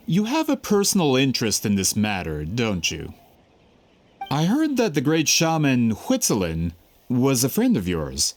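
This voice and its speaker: Suave, very animated gentleman schemer. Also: mid-range voice. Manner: polished, theatrical, persuasive. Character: suave, courteous, scheming gentleman scholar and employer. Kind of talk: anime game voice acting.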